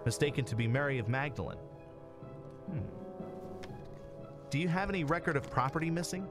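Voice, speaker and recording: A man's voice: a little high, expressive, fairly quick. A man playing a stern, preachy investigator in a sci-fi audio drama, speaking in a formal, commanding way. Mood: pondering. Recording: music behind the dialogue.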